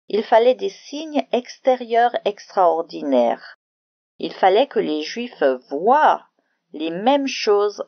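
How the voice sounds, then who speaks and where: Woman, children's Bible teacher: slowish, creaky delivery, simple explanations, dry close sound. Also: medium pitch, dry recording, dull audio. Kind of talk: narrated children's Bible lesson with prayer.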